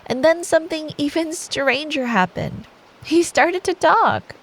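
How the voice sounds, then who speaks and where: Slow, higher-voiced woman telling bedtime stories. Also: lively intonation, poor audio. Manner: sweet, playful, unhurried. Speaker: ASMR performer playing a girlfriend. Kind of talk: ASMR bedtime storytelling with playful asides. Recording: faint rain noise behind. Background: soft rain sound effect.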